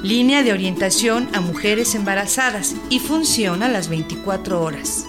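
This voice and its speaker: A woman's voice: medium pitch, moderate pace, natural voice. A woman with a Mexican accent playing a helpful, composed health hotline operator in a scripted radio drama, formal and explanatory. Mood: polite, informative. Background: guitar music.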